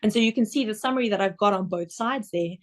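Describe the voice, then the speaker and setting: A woman with a South African accent, an investment director giving a webinar presentation over slides in a measured, explanatory way with occasional ums. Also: mid-range voice.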